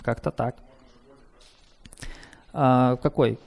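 A man's voice: mid-range voice, steady tone, extremely slow, gravelly, darkish tone. A man in his thirties giving a technical talk at a developer meetup and answering questions, with many filler sounds. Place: dim meeting room with a projector.

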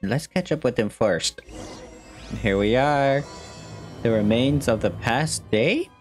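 Low-voiced young woman reacting to gameplay. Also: lively intonation, takes it slowly. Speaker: gamer commenting on gameplay. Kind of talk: casual gameplay reaction, reading dialogue aloud. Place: indoor room, on webcam. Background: faint game music.

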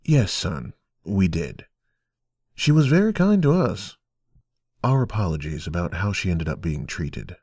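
A man voicing a kindly, fatherly talking teapot in an audio drama, gentle and reassuring. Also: deep voice, sing-song, measured pace, pauses often, husky, dry recording, studio quality, heavily acted.

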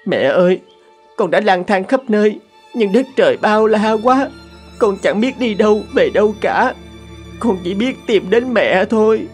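A man voicing a gentle, grieving young man in a cartoon folk tale, tender and plaintive. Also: high voice, animated, measured pace. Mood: tearful grief. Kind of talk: animated fairy-tale dubbing. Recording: music under the voice.

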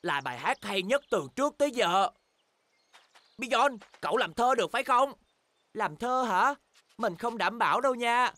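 A man's voice: very high-pitched, animated, moderate pace, pushed and bright, no room sound, exaggerated voice. A man voicing a cheerful, boastful young bear in a language children's cartoon dub, exclaiming with enthusiasm. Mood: eager.

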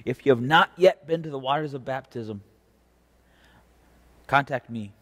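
A young American man speaks as a pastor giving a devotion. His delivery is earnest and measured, with deliberate phrasing and a mid-pitched voice. The recording carries the long reverb of a church interior. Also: fairly bright tone. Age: young adult. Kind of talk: devotional preaching with scripture reading. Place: indoor church chancel. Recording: reverberant church, mic at a distance.

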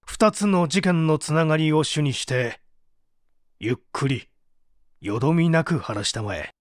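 An actor playing an authoritative, probing prosecutor who questions formally in a dramatic audio mystery. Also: male voice, a little high, unhurried, no room sound, clean studio sound, natural voice. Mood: probing, firm. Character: male prosecutor, probing, authoritative, composed interrogator. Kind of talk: dramatic audio reading of an interrogation.

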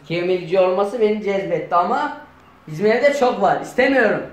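A man's voice: high voice, a little fast, slight background noise. A young man, grumbling through improvised comic banter in a home dining room. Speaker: performer in a children's comedy sketch. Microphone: camera a few metres away. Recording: echoey room.

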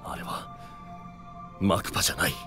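A man's voice: moderate pace, music in the background, close to an everyday voice. A man playing a cool, serious, analytical space cadet who talks bluntly and logically in a voice drama. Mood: grave. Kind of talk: Japanese voice drama acting.

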